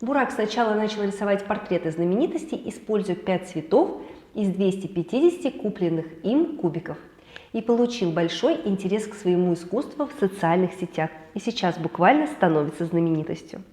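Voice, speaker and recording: A woman hosting a weekly celebrity and travel news roundup, hoarse from a cold, lively and chatty with personal asides. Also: mid-range voice, fairly quick, roomy sound. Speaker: news show host.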